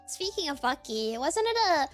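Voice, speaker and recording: A woman's voice: high voice, sing-song, moderate pace, faint music behind. A woman streamer chatting casually in a rambling, giggly way, full of fillers. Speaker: VTuber streamer.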